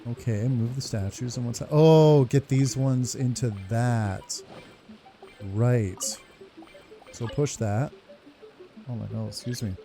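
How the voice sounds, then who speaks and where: A man giving casual gameplay commentary as a video host, excitable, sweary and informal. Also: medium pitch, slow pace, gravelly, pauses often. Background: game music.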